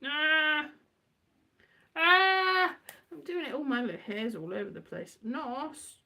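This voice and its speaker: Scatty, high-pitched woman rambling brightly. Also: measured pace. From Wales. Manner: scatty, digressive, thinking aloud, muttering asides to herself. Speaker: writer and performer, host. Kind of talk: rambling casual monologue.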